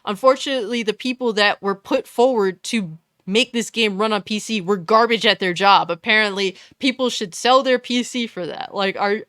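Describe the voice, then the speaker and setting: A woman with a fairly deep voice giving a blunt, scornful, profane commentary rant. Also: normal speed, few pauses, a bit bright, no room sound, studio quality. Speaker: commentary YouTuber. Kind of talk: ranting commentary.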